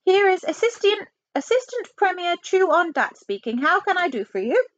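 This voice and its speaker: A polite, unhelpful male official with a Chinese accent, put on by a woman narrator. The voice is high and lilting with broken phrasing, a storybook caricature recorded dry. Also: big pitch swings, normal speed, no echo, muffled recording. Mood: polite, apologetic.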